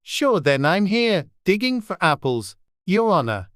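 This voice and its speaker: A man's voice: high voice, very animated, unhurried, a bit bright, dry recording, pitched above the performer's own voice, heavily acted. A timid, simple Irish servant, voiced by a man in a storybook, deferential and humble with his master. His voice is lifted above the narrator's, recorded close and dry. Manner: deferential, says "Your Honor".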